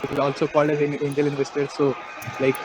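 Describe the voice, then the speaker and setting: A man's voice: completely flat delivery, fast. A young man with an Indian accent talking conversationally in a casual group discussion. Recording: noisy room, traffic rumble.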